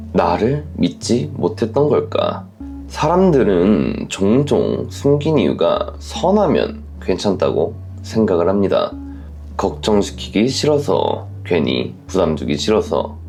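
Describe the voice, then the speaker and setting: A man hosting and reading listener stories, then commenting reflectively in measured, scripted narration with questions to the audience. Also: sits low, creaky, darkish tone. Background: background music.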